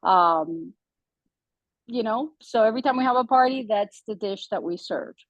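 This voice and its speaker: A woman's voice: a little high, expressive, moderate pace, no echo, dull audio. Brief: woman from Cuba, guest cook, chatty and loose, close dry mic. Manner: chatty, frequent "um" fillers, conversational. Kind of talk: casual storytelling.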